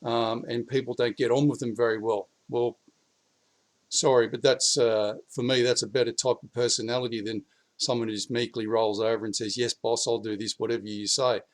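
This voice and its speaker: A blunt Australian man with a slightly croaky voice after the flu, talking straight to camera and swearing freely. His voice climbs and gets louder when he presses a point. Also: mid-range voice, little pitch movement, brisk. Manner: blunt, swears, rising heat when urging. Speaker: prepping vlogger. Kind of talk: casual political talk to camera. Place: outdoors in his backyard.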